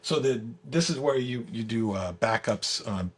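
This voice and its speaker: A man with a gravelly, husky voice giving a casual software walkthrough as the guest, halting and repeating words as he explains. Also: medium pitch, lively intonation. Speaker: software creator giving a product demo as guest. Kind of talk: casual screen-share walkthrough.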